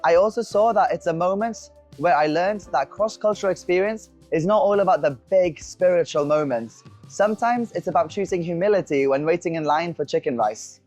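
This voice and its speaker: A woman's voice: lowish voice, brisk, hardly any pauses, darkish tone, soft background music. A woman from North Wales, hosting and telling candid, self-deprecating stories at an open-air food centre. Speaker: host. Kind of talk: scripted conversational presenting.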